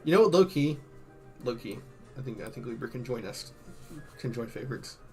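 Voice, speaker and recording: A man doing casual, slangy live-stream commentary, thinking out loud, his voice a bit worn from hours of talking. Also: medium pitch, expressive, brisk, soft background music. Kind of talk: casual live-stream commentary, ranking game tier lists.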